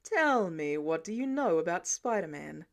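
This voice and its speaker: A woman's voice: fairly low pitch, sing-song, moderate pace, voice pushed down. A woman voicing a confident, nosy male billionaire boss, nonchalant and probing, in an audiobook. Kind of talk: audiobook character dialogue.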